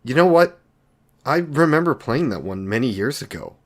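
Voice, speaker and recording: A man's voice: mid-range voice, sing-song, normal speed. A man playing an awkward, lonely, considerate villain in an intimate roleplay drama, speaking in a hesitant, apologetic, polite way. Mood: tentative, curious.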